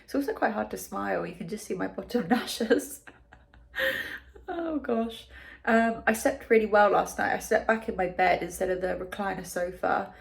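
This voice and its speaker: A young woman from the UK talking casually in a video diary, her nose blocked and congested, pausing for breath as she chats with many ums and likes. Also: fairly low pitch, gravelly, reverberant. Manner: chatty, lots of ums and likes. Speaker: vlogger.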